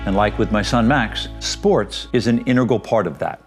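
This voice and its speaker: A man's voice: on the low side, normal speed, soft background music. A middle-aged man presenting a documentary piece, mixing narration with personal reflection as a parent advocate.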